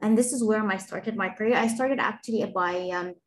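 A woman's voice: medium pitch, a little fast, slight background noise, low-quality recording. Egyptian-born woman prof on a video call, explaining her research, lots of uhs and restarts. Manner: earnest, explanatory, with fillers and restarts. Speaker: engineering professor, guest speaker. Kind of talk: webinar talk and interview answers.

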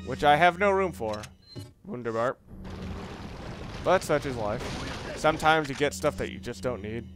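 A man giving casual, rambling gameplay commentary as a let's play gamer, with wry, sarcastic asides. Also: mid-range voice. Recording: noisy, game sounds behind. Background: video game ambience.

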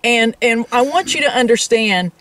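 A woman's voice: a little high, animated, normal speed, fairly bright tone, no room sound, distant mic. A woman co-hosting a travel vlog, explaining things in casual, conversational talk addressed straight to viewers. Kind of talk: casual explanatory chat to camera.

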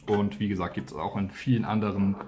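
A man's voice: on the low side, steady tone, brisk, dark tone, slight background noise. A man giving a casual, unscripted product walkthrough, informally thinking aloud as he goes. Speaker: product reviewer and teacher.

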